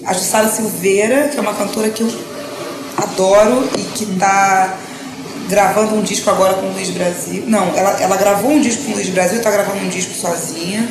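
A woman talks as a singer being interviewed on a sofa. She is lively and quick to laugh, and she often trails into restarts. The sound is roomy, picked up by an off-camera mic in a living room. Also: mid-range voice, background noise. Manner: animated, laughing, hesitant restarts. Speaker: singer and songwriter, interview guest. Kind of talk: casual interview chat.

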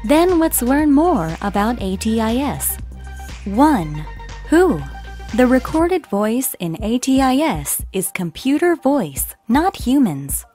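A woman reading scripted educational narration in a measured, deliberate way, enunciating carefully. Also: a little high, sing-song, warm tone, soft background music. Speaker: narrator of an explainer lesson.

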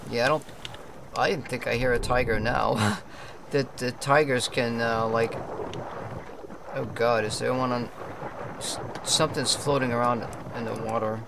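A man's voice: mid-range voice, fairly even intonation, measured pace, vocal fry, noisy background. A man streaming a game, roleplaying a polite, hesitant character with a put-on French accent and many fillers. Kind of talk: in-character roleplay chat and live gameplay talk.

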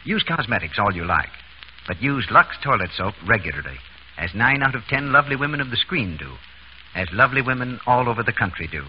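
A man's voice: mid-range voice, big pitch swings, fairly quick, highs cut off, slight background noise. A man announcing a scripted commercial in 1930s radio advertising style, on a vintage recording.

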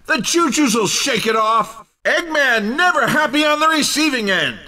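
An actor voicing an excitable, showy racing game commentator with a shouted, punchy delivery. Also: male voice, very high voice, normal speed, distant mic, heavily acted. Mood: excited, teasing. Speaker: race commentator.

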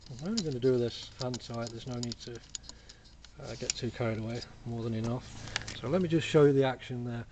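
A man casually explaining a workshop build in a relaxed, plain-spoken way, full of "uh" and "basically", filmed up close on a camera mic at a cluttered bench. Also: mid-range voice, brisk, background noise. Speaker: hobby engineer demonstrating a build.

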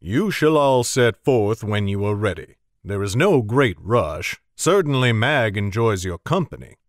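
A man's voice: mid-range voice, very animated, unhurried, dry recording, studio quality. A man voicing a wry older bowyer who speaks with a smirking drawl. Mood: easygoing.

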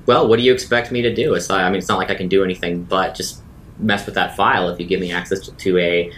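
A man with a fairly low voice plays a tabletop roleplaying game. He improvises dialogue and narration. There is faint noise and rumble behind him. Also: fairly even intonation, quick pace, a bit bright.

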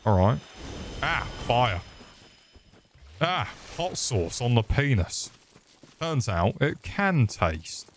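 A young man streaming a game, swearing and joking sarcastically as he plays. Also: lowish voice. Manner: sweary, sarcastic, crude jokes. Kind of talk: swearing live gameplay commentary.

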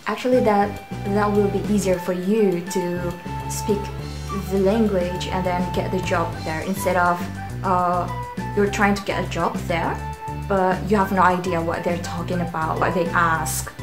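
A woman's voice: on the low side, little pitch movement, unhurried. A young woman from Indonesia, a native Indonesian speaker, talking to camera in a casual, rambling, self-deprecating way as a channel host in a small bedroom. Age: young adult. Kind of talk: casual vlog talk to camera. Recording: roomy echo with music behind.

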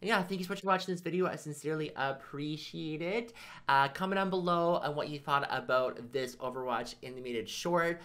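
Bright-voiced man reacting casually. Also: fairly high pitch, a little fast. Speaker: reaction video host. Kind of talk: casual reaction commentary to camera. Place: small plain indoor room.

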